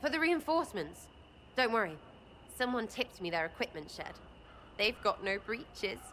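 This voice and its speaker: An actress playing a cheeky, irreverent lowborn woman archer who talks in crude, mocking, rambling banter. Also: big pitch swings, moderate pace, fairly bright tone, slight background noise.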